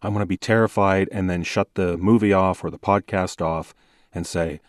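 A man speaking as an interview guest, explaining his ideas in a measured, thoughtful, conversational way. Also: sits low, steady tone, no room sound, studio quality. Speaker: horror podcast host, interview guest.

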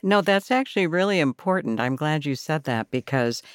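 A woman's voice: low-pitched, expressive, moderate pace, no echo, clean studio sound. A woman in her fifties hosting a radio interview, asking conversational questions. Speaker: radio host. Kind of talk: interviewing a guest.

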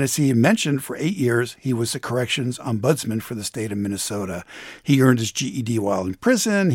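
A man's voice: mid-range voice, no room sound, clean studio sound. An American man giving a conversational radio report as a contributor, telling a story with emphasis. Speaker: economics contributor.

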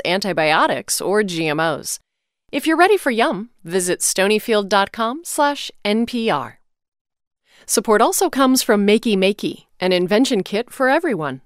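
An American woman announcer reads scripted sponsor copy. Her medium-pitched voice sits in a dry, close studio sound with no room around it. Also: no echo. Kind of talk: scripted podcast sponsor read.

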